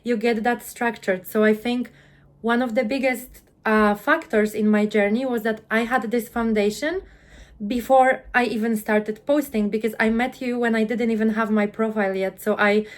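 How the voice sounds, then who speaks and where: A woman in her thirties with a Polish accent, telling her story as a guest in an interview, earnest and quick, often repeating the first word of a sentence. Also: a little high, steady tone. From Poland. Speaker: guest, content creator.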